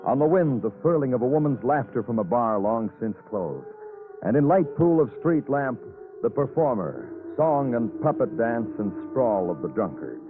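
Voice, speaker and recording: An actor playing a world-weary police lieutenant, narrating an old radio noir drama with solemn, deliberate phrasing. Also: male voice, medium pitch, big pitch swings, natural voice. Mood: brooding, poetic. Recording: tinny old radio recording, music behind.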